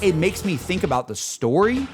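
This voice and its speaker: A man's voice: high-pitched, sing-song, normal speed. Effusive, slangy man over background music. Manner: effusive, slangy, repeats and emphasizes phrases. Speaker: streamer and video host. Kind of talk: casual live reaction chat to game music. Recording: music playing behind the voice. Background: video game battle music.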